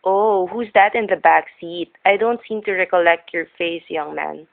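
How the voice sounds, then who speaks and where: Through tinny vintage radio sound comes a folksy old diner woman with a lowish voice. She gabs away in homespun, rustic grammar. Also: moderate pace, vocal fry. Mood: chatty, nosy. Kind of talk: old-time radio character acting.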